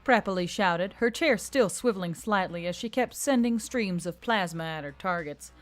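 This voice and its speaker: Female story narrator, mid-range pitch, dramatic scripted read, faint music bed underneath. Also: moderate pace. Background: faint background music.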